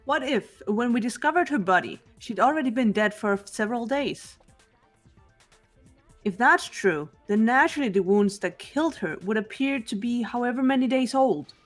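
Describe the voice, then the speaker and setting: Woman playing a calm, analytical teen girl. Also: on the high side, soft background music. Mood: composed. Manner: calm, measured. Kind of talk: fan voice acting of a game.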